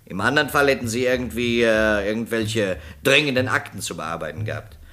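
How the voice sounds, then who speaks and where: A man's voice: fairly low pitch, a little fast, bright, forceful tone. A man playing a shrewd, methodical police detective in a German crime radio play, speaking precisely and insistently with an accusatory edge. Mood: methodical, pressing. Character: shrewd, methodical male police detective confronting a murder suspect.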